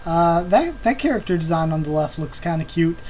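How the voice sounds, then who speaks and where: Young adult, mid-pitch voice, rambling, filler-heavy, computer fan hum. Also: expressive, moderate pace, darkish tone. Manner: rambling, with many ums and uhs. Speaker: anime video channel host. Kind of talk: casual season preview chat. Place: home computer desk.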